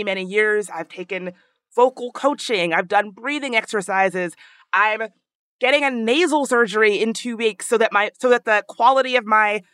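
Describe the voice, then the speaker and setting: Bright high-voiced woman podcast host. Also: no echo. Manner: earnest, thinking aloud with many restarts. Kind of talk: casual podcast discussion.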